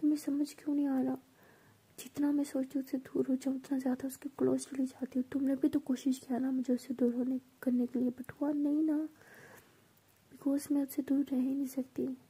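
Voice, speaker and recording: A timid young woman in love, voiced in a breathy whisper that sits high yet barely moves in pitch. Her tone is dark and softened, with a gravelly edge under the breath, as a woman storyteller puts her on. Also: little pitch movement, fairly quick, full character voice. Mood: desperate.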